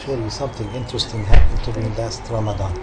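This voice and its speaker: A man's voice: fairly low pitch, steady tone, fast talker. A man making casual conversational remarks as a listener at a religious talk. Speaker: listener responding to the teacher. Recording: loud background noise.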